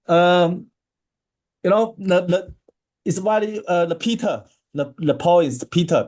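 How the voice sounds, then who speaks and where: A man with a Chinese accent answers interview questions, pausing often to search for his English words. He speaks at an unhurried, slow pace. His voice has a dark, mellow tone. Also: a little high. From China. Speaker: interview guest, marathon swimmer.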